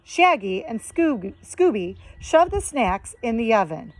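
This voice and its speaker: A woman, a teacher, narrating a children's story aloud in a deliberate, expressive way. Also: fairly high pitch, no room sound. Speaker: second grade teacher reading aloud.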